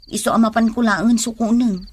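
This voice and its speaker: A woman's voice: on the high side, steady tone, fairly quick, lightly acted. A woman playing a devoted, stubborn young woman in love, in a radio drama. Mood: anxious. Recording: music under the voice.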